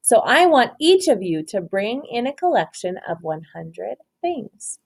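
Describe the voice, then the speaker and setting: A woman's voice: a little high, big pitch swings, a little slow, creaky, husky, full character voice. A woman voicing a male first-grade teacher from a storybook, giving upbeat, explanatory instructions. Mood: instructing.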